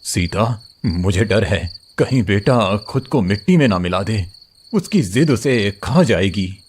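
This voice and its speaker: A man's voice: expressive, takes it slowly, slight background noise. A man voicing a worried aging farmer father. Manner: soft, anxious, low. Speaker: voice actor.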